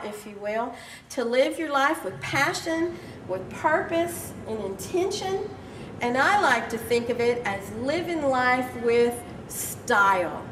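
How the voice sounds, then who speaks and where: A woman with a slightly raspy, somewhat high voice gives an encouraging keynote that draws the crowd in. She sounds a little off-mic. Her voice carries through a large, echoing conference hall. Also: a little hiss or hum. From Texas. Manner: encouraging, chatty, drawing the audience in. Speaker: keynote speaker. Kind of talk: motivational speech to a live audience. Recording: echoey hall, voice from a distance.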